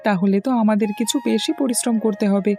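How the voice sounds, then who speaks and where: A man's voice: sits high, fairly even intonation, fast, warm tone, faint music behind. A man voicing an eager cartoon village newcomer, polite and earnest in his dialogue.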